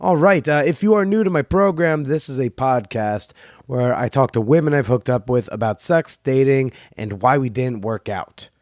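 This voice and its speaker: A man hosting a podcast, talking casually in a rambling, halting way with many fillers. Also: mid-range voice, lively intonation, a little mellow, dry recording, clean studio sound. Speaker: podcast host; comedian. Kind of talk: casual solo monologue.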